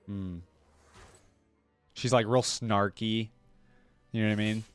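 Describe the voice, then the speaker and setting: A man's voice: on the low side, fairly even intonation, slow, soft background music. A man hosting a reaction video, commenting casually in an amused, slangy, informal way.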